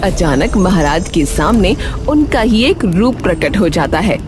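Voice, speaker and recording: A woman's voice: fairly low pitch, lively intonation, unhurried. Lady narrating a cartoon folk tale, dramatic scripted read, music playing under. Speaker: story narrator.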